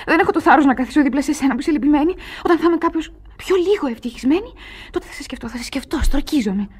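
Naive twenty-year-old girl, very high voice, impulsive, jealous lover, radio drama. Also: lively intonation. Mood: tender, joyful. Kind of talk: Greek radio theatre acting.

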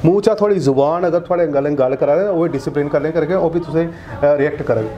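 A man's voice: a little high, quick pace, highs cut off. A middle-aged man from Jammu with a Dogri accent, a cartoonist guest chatting casually in an interview and gesturing as he talks. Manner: animated, gestures a lot while talking. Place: indoor studio set.

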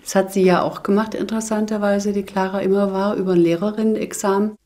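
A woman's voice: mid-range voice, little pitch movement, fast talker, mellow. An older woman, an emeritus professor, explaining informally in an interview.

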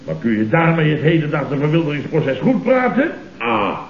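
A blustering, excitable company boss. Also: male voice, a little high, moderate pace. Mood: pompous indignation. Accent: Dutch, from the Netherlands. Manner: blustery, repetitive, stammering, exclamatory. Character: blustering, self-righteous, panicky conservative family-firm boss. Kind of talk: 1970s Dutch radio comedy acting. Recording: dull, noisy, roomy old radio recording.

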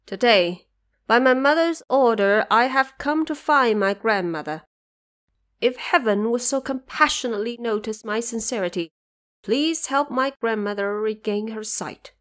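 A woman's voice: a little high, measured pace, fairly bright tone. An earnest, devout young monk voiced by a woman speaks humbly, with a pleading manner. He is a figure in an audio drama of an old tale.

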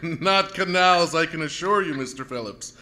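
A man playing a learned, skeptical astronomy professor in a 1930s-style radio drama, measured and scholarly in delivery. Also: a little high, pushed and bright. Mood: dryly assured. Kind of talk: 1930s radio drama acting, live performance. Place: staged performance in a planetarium dome.

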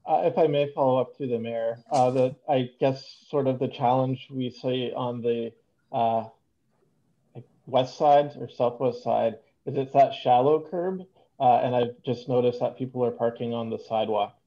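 A man's voice: medium pitch, moderate pace, mellow. A man with a Canadian accent, a city councillor asking staff questions over a video call, hesitant with many fillers. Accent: Canadian English. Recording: dull lo-fi video call audio.

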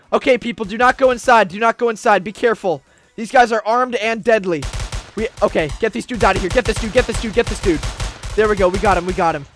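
A man doing upbeat roleplay commentary over a game, shouting commands when things get tense. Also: high voice. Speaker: gaming YouTuber. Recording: faint background music.

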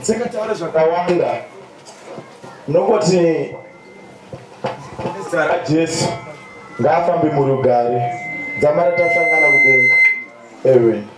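A man's voice: a little high, a little slow, long pauses, background noise. A young man with a Zimbabwean accent giving a eulogy to a large gathering over a PA. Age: young adult. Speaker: friend giving a funeral tribute. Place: open-sided stadium stand outdoors. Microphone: handheld microphone over PA. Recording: echoey PA in open stand, music behind.

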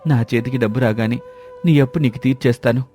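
Cartoon village tale: poor, humble male cousin, acted by a narrator, pleading delivery, mellow, music underneath. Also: mid-range voice, fast, natural voice. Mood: pleading, sorrowful. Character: destitute, grateful village cousin seeking help. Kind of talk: dramatised moral story dialogue.

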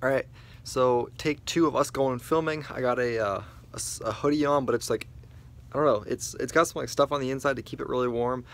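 A man's voice: mid-range voice, fairly even intonation, brisk. A young man talking casually to a handheld camera at arm's length, rambling with many fillers and restarts. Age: young adult. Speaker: vlogger. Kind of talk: casual vlog chat. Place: small dim room indoors.

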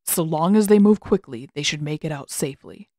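A woman voicing a stern, reserved older male master of water magic in a formal, measured, unyielding manner for a story. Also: low voice, warm tone, dry recording, clean studio sound, pitched below the performer's own voice. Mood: firm, resigned.

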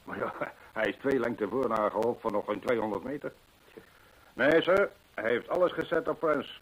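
An actor playing a talkative, anxious horse trainer in a Dutch radio drama, with a husky, gossipy way of telling things. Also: male voice, mid-range voice, normal speed, lightly acted, rough recording. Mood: worried, confiding. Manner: gossipy, emphatic storytelling.

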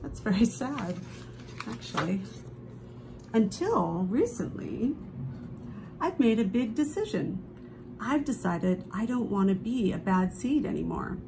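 A woman reading a children's picture book aloud expressively, with dramatic drawn-out emphasis on key phrases. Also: mid-range voice, big pitch swings, background noise. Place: indoors at a table, book held before camera.

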